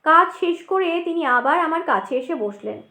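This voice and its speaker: A woman narrating a literary story as a dramatic audiobook, with expressive, measured phrasing. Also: high voice, echoey room.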